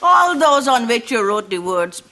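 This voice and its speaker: A woman's voice: fairly high pitch, big pitch swings, unhurried, pushed and bright, slight background noise, full character voice. An actress playing a sentimental woman fondly recalling old love letters in a studio sitcom. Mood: wistful. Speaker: friend.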